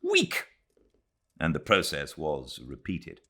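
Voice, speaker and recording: A British man narrating a classic novel in a measured, expressive reading voice. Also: deep voice. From Britain. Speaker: audiobook narrator. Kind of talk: scripted literary narration. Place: home, beside a fireplace.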